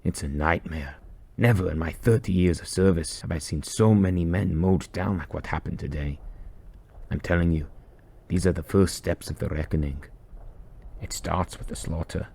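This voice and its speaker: A man voicing a weary, grim older army veteran in a war story, gruff and hushed. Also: low voice, moderate pace, full character voice.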